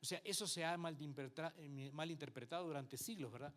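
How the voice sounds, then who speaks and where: A Uruguayan man with a Mexican tinge in his speech, preaching to his church in a slightly higher voice. He talks in a conversational way, checking in with the congregation and acting out what people say. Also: a little fast, a bit bright. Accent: Uruguayan Spanish with some Mexican influence. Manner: conversational preaching with frequent rhetorical checks and acted-out quotes. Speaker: pastor. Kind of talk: Bible class sermon to a congregation.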